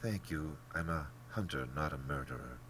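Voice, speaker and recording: A man's voice: very deep voice, normal speed, a bit bright, a little hiss or hum. A man voicing an indignant, morally outraged young hunter in an audiobook, stiff and terse with heat underneath. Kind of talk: dramatic audiobook character acting.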